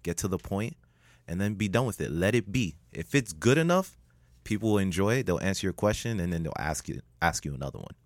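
Deep-voiced animated male podcast guest. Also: a little fast, no echo, clean studio sound. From United States. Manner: animated, rises sharply in pitch when joking. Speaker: guest, public speaker. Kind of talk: podcast conversation, storytelling.

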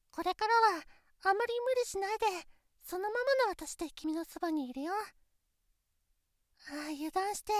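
A young woman voicing an insecure teenage girlfriend who talks softly and intimately in a studio voice drama. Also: extremely high pitch, no echo. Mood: relieved. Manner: soft, intimate, pillow-talk delivery. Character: shy, insecure teenage girlfriend, female classmate. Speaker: voice actress. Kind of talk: Japanese situation voice drama.

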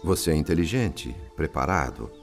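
An actor with a Brazilian accent playing a wise, kindly spirit friend in a radio drama, speaking in a theatrical, didactic way. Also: male voice, rumbling low, lively intonation, normal speed, faint music behind. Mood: earnest, instructive. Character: wise, kindly male spirit friend narrating his afterlife story.

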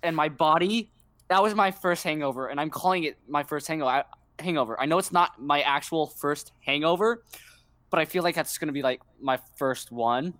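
A man's voice: fairly high pitch, normal speed, fairly bright tone, no echo. A teenage boy co-hosting a casual podcast, telling a story in a rambling way and correcting himself as he goes, over a video call. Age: teens. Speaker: podcast co-host.

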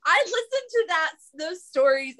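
A woman's voice: very high-pitched, very animated, a little slow, a loud crowd. A woman in casual podcast chat, stating her opinions with emphasis. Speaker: podcast panelist.

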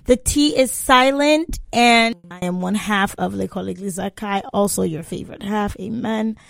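A woman's voice: medium pitch, normal speed, vocal fry, dry recording. Young woman with a dry, close voice. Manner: dry, teasing interjections. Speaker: podcast co-host. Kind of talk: casual chat.

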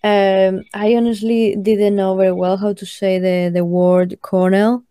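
A woman's voice: mid-range voice, level tone, measured pace, warm tone, clear, resonant tone, dry recording, clean studio sound. A woman whose first language is Spanish, chatting casually as a podcast guest and joking about her own way of talking. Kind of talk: casual chat.

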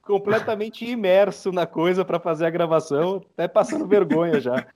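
A man's voice: high-pitched, normal speed, a little mellow. A Brazilian man talking casually as a guest in a podcast interview. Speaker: podcast guest, audio drama maker.

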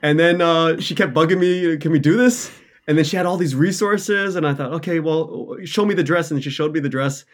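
A man telling stories casually as an interview guest, halting and repeating his words, with self-deprecating humour. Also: on the high side. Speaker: interview guest, audio drama writer. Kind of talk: casual conversational storytelling.

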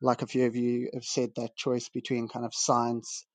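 A man from Zimbabwe, a guest on a video call, tells his story in a loose, modest ramble and keeps circling back on his own words. His voice sits mid-pitch and stays flat. The sound is dry and close. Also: moderate pace, dry recording. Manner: rambling, self-restarting, modest. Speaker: guest artist. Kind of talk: casual video-call interview. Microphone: video call over a weak connection.

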